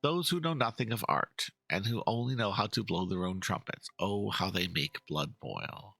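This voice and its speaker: A man's voice: on the low side, normal speed, creaky, bright, forceful tone, no room sound, exaggerated voice. A man voicing a passionate, pompous painter who speaks in florid, theatrical phrases, in a dramatic reading of game dialogue. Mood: indignant, impassioned. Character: passionate, fussy male painter, florid and easily indignant.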